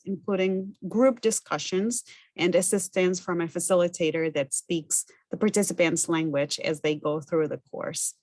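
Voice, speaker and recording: A woman's voice: on the low side, dry recording. A woman from Argentina whose first language is Spanish, speaking English with an Argentine accent as an educator, giving a methodical, earnest presentation that lists things one by one. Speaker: farm business educator. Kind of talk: recorded self-introduction presentation.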